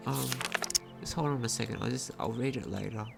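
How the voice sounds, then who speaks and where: A man's voice: mid-range voice, fairly quick, vocal fry. A man doing casual let's play commentary, thinking aloud, repeating phrases and asking himself questions. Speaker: gaming commentator. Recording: game music behind the voice. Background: video game music.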